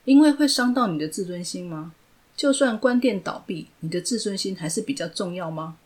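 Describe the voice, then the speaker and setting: A woman's voice: lowish voice, expressive, fairly quick, lightly acted. A woman voicing a cool, blunt teenage girl genius who prods with questions. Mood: prodding.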